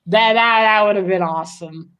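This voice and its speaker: A woman hosting a book read-along in casual chat, speaking haltingly and often repeating words. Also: mid-range voice, fairly bright tone, clear, resonant tone, dull audio.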